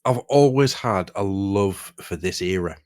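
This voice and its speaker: A man in his forties with a very low-pitched voice talks casually on a podcast. He rambles through tangents, swears easily and comments wryly on himself. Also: a little slow, darkish tone. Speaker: podcast host.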